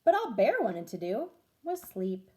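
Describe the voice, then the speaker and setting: A woman reading a children's story aloud in an expressive, deliberate way, like a teacher with pupils. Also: medium pitch.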